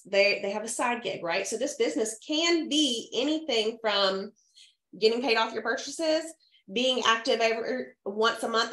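A woman in her thirties, a little hoarse from a cold, coaching her sales team over a webcam in an upbeat way, often checking in with the listeners. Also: on the high side, a bit bright. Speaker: direct sales team leader. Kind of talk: training talk to a sales team. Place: home room, indoors. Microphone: webcam on a video call. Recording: echoey room.

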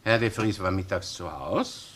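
A man's voice: deep voice, a little slow, fairly bright tone, a little hiss or hum. An actor playing a wily, insistent Austrian police chief inspector in a crime drama, needling and sarcastic as he interrogates. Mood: pressing. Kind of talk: crime radio or TV drama acting.

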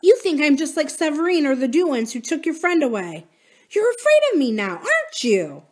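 Woman voicing a defiant young girl, higher pitched. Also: big pitch swings, moderate pace. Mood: hurt, accusing. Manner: intense, emphatic. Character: defiant, hurt, stubborn young girl heroine.

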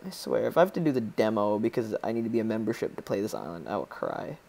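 A teenage boy with a Polish accent gives loose, joking commentary while playing a game. His voice is gravelly and swings up and down a lot. He rambles and reads game lines aloud in silly voices. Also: fairly low pitch, very animated, quick pace, warm tone. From Poland. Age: teens. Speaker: gaming YouTuber. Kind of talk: casual let's play commentary.

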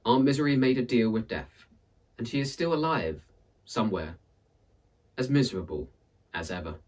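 A man in his thirties with a husky voice, reading and presenting a book as an expressive storyteller. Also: mid-range voice, normal speed, distant mic. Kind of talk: expressive read-aloud storytelling and book introduction. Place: indoors in front of a purple backdrop.

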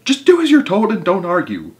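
A man voicing a bossy, excitable monkey father who shouts urgent commands in a children's storybook. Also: mid-range voice, moderate pace, lightly acted. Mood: frantic, bossy. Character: bossy, frenzied monkey father leading a revenge trick.

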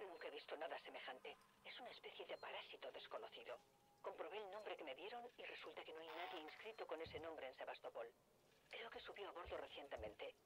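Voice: medium pitch, a bit bright, slight background noise, lightly acted, low-quality recording. An actor voicing a worried station doctor. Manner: urgent, uneasy. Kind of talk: game dub dialogue.

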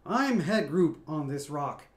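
A man's voice: a little high, animated, takes it slowly. A man voicing a smug, mocking alien band boss. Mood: dismissive. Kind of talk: picture book read aloud. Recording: roomy echoey room.

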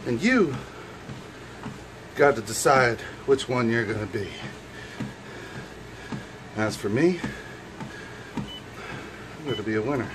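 Man, thirties, weary fitness vlogger. Slow, plain-spoken, average pitch, slightly noisy camera sound. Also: lively intonation. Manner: plain-spoken, colloquial, mild swearing. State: tired from exercise. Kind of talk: casual workout vlog talk to camera. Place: rooms at home and a home gym. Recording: noisy handheld camera audio with ambience.

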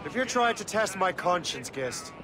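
An actor playing a steady, commanding ship captain with dry humor. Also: male voice, high voice, moderate pace. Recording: loud wind and sea noise.

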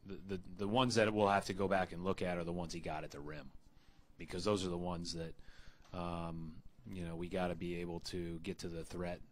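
A young man speaking as head coach at a postgame press conference into a table mic, measured and full of fillers and restarts. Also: low voice, level tone, a little hiss or hum. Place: arena press room.

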